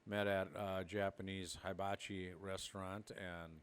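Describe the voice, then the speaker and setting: A man's voice: low-pitched, flat delivery, unhurried, smooth. A middle-aged man from Minnesota, a podcast co-host telling rambling stories with frequent laughter. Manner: rambling storyteller, laughs often. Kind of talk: casual chat.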